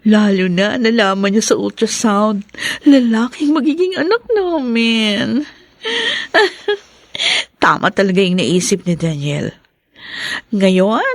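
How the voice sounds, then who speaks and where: A woman's voice: a little high, no echo, clean studio sound, heavily acted. A young woman voicing a sly, wheedling mistress who schemes for money in a radio drama. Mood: gloating. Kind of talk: Filipino radio drama acting.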